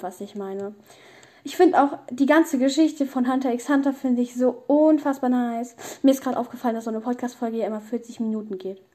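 Young woman, very high pitch, soft mellow tone, informal slangy podcast chat. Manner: informal youth slang, rambling and opinionated. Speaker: podcast host. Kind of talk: casual solo podcast chat.

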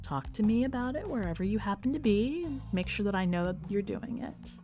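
Woman reading in a measured literary podcast style. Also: mid-range voice, expressive, mellow, faint music behind. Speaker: podcast host, editor and story reader. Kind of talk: literary story narration and podcast commentary.

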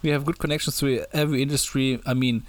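A man's voice: mid-range voice, fairly even intonation, quick pace. A young man with a German accent, co-hosting a podcast in casual, joking chat, often restarting his sentences. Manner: joking, hesitant with many restarts. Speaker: podcast co-host.

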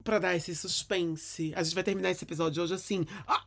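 A Brazilian man with a cold, his voice hoarse and full of gravel. He co-hosts a podcast with a wry, camp drawl and a dry sense of humor. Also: high voice, fairly even intonation, fairly quick, fairly bright tone. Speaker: podcast co-host. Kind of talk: campy casual chat.

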